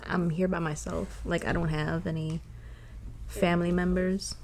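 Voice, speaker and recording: Gravelly-voiced young woman co-hosting a podcast. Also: fairly low pitch, level tone, moderate pace, darkish tone, a little hiss or hum. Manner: laughs often, swears casually. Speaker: podcast co-host. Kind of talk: casual chat.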